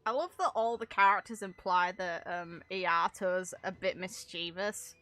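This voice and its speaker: Bright-voiced woman giving casual game commentary. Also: on the low side, unhurried. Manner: informal, chatty, with British slang and mild swearing. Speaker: streamer reacting to game voice lines.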